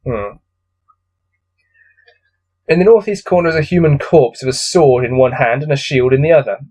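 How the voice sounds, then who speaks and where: A man with a mid-pitched voice hosts a solo gamebook read-through. He reads the text aloud and muses over it in a casual, self-deprecating way. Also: normal speed, darkish tone, husky. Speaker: gamebook let's-play host.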